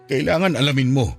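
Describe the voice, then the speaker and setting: A man's voice: mid-range voice, vocal fry, husky, heavily acted. A man acting a devoted, protective friend in love, earnest and emotional, in a Filipino radio drama. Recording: music bed behind the voice.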